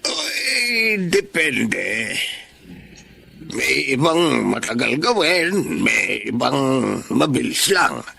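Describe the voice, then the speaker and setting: An actor playing a kindly old Filipino grandfather painter in a radio drama, with a gravelly, husky voice. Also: medium pitch, big pitch swings, extremely slow, sharp tone, full character voice. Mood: hesitant, amiable.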